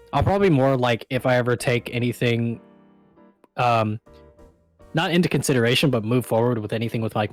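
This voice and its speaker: A man chatting casually into a close microphone on a stream, informal and rambling, with some swearing. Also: mid-range voice. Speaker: co-host of a live stream chat show. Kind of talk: casual chat. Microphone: close desktop microphone over voice chat.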